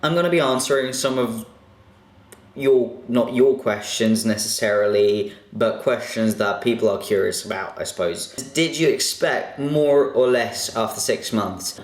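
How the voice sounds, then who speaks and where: A man's voice: mid-range voice, a little slow. A young man casually answering viewer questions in a vlog, chatty and a bit self-conscious, with lots of fillers. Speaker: vlogger. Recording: echoey room.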